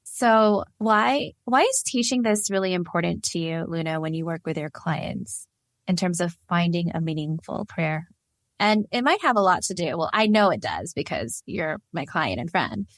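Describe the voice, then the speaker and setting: A woman hosting a podcast interview, talking in an energetic, chatty way with quick asides. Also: on the low side, no room sound, clean studio sound. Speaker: podcast host and career coach.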